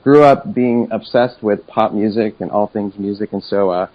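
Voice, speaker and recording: Man from Chile, forties, enthusiastic, lowish voice, muffled remote recording. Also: moderate pace. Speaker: career coach guest. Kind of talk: interview conversation.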